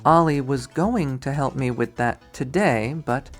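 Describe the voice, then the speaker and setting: A man voicing a composed, formal college woman with dry wit and measured, precise speech in a visual novel. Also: mid-range voice, soft background music, close to an everyday voice. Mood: businesslike.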